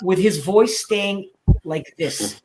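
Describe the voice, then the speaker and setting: A man with a high voice on a group voice call, talking emphatically and with plenty of swearing. A loud crowd can be heard behind him. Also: slow pace. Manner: emphatic and sweary, hypes a friend. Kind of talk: casual group voice chat.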